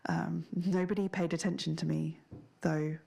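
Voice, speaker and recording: A woman's voice: sits low, flat delivery, moderate pace, gravelly, dark tone, slightly rough, heavily acted. A woman playing a thoughtful interviewee who recounts strange memories in a hesitant, understated, reflective way. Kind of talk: halting first-person recollection in interview format.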